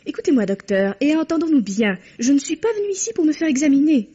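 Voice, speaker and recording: An actress playing a haughty, frightened young woman in a vintage radio drama, defiant and sharp-tongued. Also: sits high, big pitch swings, fast talker. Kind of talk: 1950s French radio drama acting. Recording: dull old radio recording.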